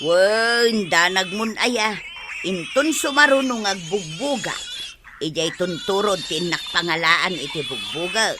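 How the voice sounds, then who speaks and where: An actress playing a plain-spoken, argumentative village wife in an Ilocano radio comedy drama, with an Ilocano accent. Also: female voice, on the low side, expressive, measured pace, noisy background.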